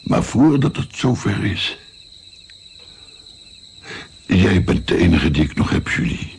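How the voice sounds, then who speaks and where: An actor playing a weary, guilt-ridden grandfather of seventy-six in a radio drama, speaking in a brooding, pausing way with a gravelly voice. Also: low voice, big pitch swings, exaggerated voice. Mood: brooding, remorseful. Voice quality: gravelly, creaky. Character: weary, guilt-ridden 76-year-old Italian-born grandfather. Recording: faint noise, night crickets. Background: chirping crickets.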